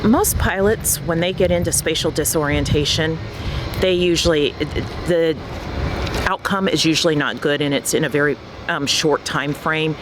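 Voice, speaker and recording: A man's voice: on the high side, normal speed, noisy background. A man speaking as an aviation expert in a plain-spoken, explanatory interview.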